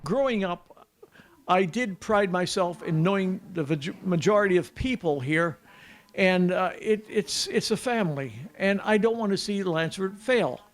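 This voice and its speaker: Older male interviewee, notably high voice, halting conversational soundbite. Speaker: retiring business owner being interviewed.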